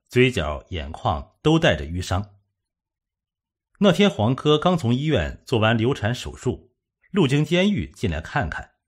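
A man's voice: fairly low pitch, husky. A man narrating a suspense audiobook in a dramatic, solemn storytelling manner.

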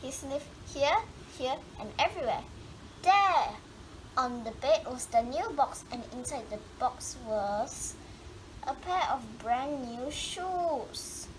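A woman's voice: high-pitched, animated, slow pace. A woman reading a children's picture book aloud expressively, drawing out words for dramatic emphasis. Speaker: storybook reader. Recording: clearly noisy home recording.

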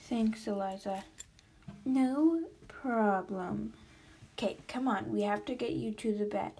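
A woman's voice: mid-range voice, takes it slowly, dark tone, breathy edge. A woman improvising voiced characters in a toy role-play story. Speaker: role-play video creator.

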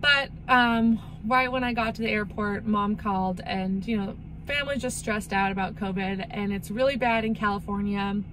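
A woman's voice: mid-range voice, a bit bright. A young American woman from Los Angeles chatting casually to a camera inside a car. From Los Angeles, USA. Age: young adult. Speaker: vlogger. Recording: clearly noisy car interior recording.

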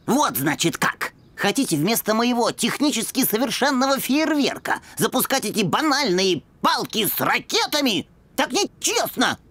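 Pompous, lively boy puppy inventor. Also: a little high, moderate pace, dry recording. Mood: indignant. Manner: pompous, lecturing with long technical words. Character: earnest brainy boy puppy, proud young inventor, easily offended. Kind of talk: animated children's cartoon acting. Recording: loud music behind the voice.

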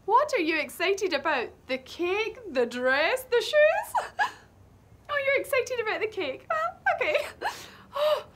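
Casting: very high-voiced, excitable party girl, gushing, theatrical, swooping delivery for a teaching skit. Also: a little slow, exaggerated voice. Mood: giddy, gushing. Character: giddy, over-excited birthday woman called April. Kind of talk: acted skit for English learners.

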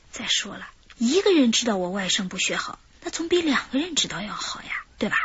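A woman's voice: on the high side, big pitch swings, normal speed, a bit bright, husky, no echo, full character voice. An actress playing a scheming older woman who poses as a kid's great-aunt, fawning and chuckling as she coaxes and bargains in a radio drama. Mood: wheedling. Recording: slightly dull broadcast audio with faint hiss.

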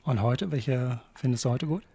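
A man's voice: medium pitch, normal speed, creaky, warm tone, slightly rough, dry recording. A man hosting a radio show, steering the talk with questions and announcer-style teasers. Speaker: radio host.

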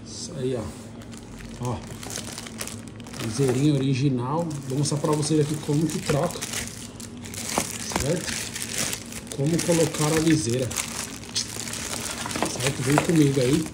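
A man's voice: a little high, steady tone, measured pace, pauses often. A Brazilian man giving an informal hands-on tutorial in short phrases with frequent little check-in tags. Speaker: motovlogger demonstrating a how-to. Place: indoors, tiled kitchen. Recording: noisy phone recording with handling rustle.

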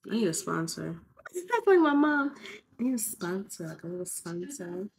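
A woman's voice: on the low side, very animated, normal speed. A young woman, congested from covid, chatting bluntly and swearing freely in a casual vlog. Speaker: vlogger.

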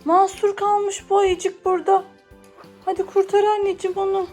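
A woman's voice: very high-pitched, little pitch movement, moderate pace, darkish tone, soft background music. A woman talking as a mother playing toys with her child, narrating the play in a coaxing, encouraging way.

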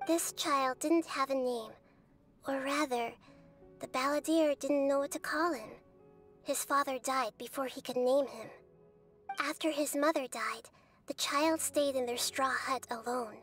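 A wise girl goddess from a game, her high voice calm and gentle as she recounts the past. She tells the story in an even, soft manner. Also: a little slow, faint music behind. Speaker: narrator of memories. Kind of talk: game voice acting.

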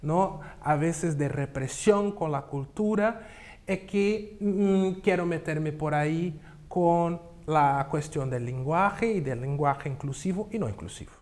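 Slow, halting man in a TV studio. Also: on the high side, a little mellow. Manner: slow, thoughtful, halting with repeats. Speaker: studio guest. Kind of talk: studio interview talk. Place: TV studio set.

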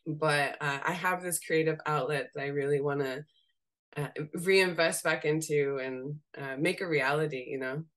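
A young woman from Seattle with a slightly raspy voice, chatting casually as a guest on a video call and laughing often as she talks. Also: deep voice, normal speed. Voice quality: raspy voice today. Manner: laughs often while talking, relaxed and candid. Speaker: guest, graphic designer. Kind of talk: casual interview chat. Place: home room.

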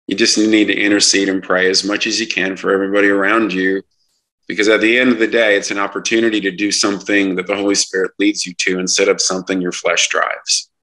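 A man talking as a truck driver guest in an interview, earnest and halting, with many restarts. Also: low-pitched, pushed and bright, rough recording. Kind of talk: casual interview talk.

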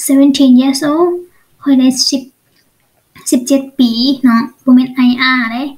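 A woman teacher with a Lao accent and a high voice gives an online English lesson. She speaks very slowly, going step by step and often checking with short questions that the learner follows. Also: steady tone, darkish tone, low-quality recording.

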